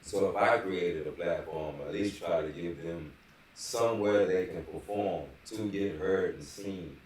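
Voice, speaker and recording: A man's voice: low-pitched, unhurried, a little mellow, distant mic. A middle-aged man giving a casual, slangy interview, speaking emphatically and often checking for agreement. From San Antonio, Texas. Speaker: interview guest, rapper. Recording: very echoey room, off-mic, faint noise.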